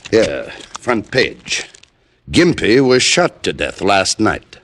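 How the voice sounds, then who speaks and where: A man's voice: on the low side, sing-song, takes it slowly, a bit bright, slight background noise, heavily acted. A man playing a nervous, desperate embezzler in an old-time radio drama, speaking in a hesitant, polite, earnest way. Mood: anxious. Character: nervous, desperate male embezzler seeking a detective's help.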